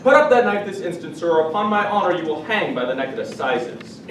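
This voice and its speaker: A man acting out a stern gentleman doctor, quick and clipped, with a raised, commanding voice. He sounds distant in an echoey room. Steady noise runs behind him. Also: on the high side. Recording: echoey room, far mic, noisy.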